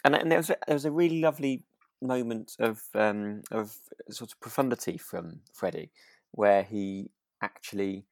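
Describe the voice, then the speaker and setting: A man with a low-pitched voice, recorded dry with no room sound, co-hosts a casual chat show. He speaks reflectively, often halting and rephrasing as he works through a thought. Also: no echo. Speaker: podcast co-host. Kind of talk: relaxed radio drama discussion chat.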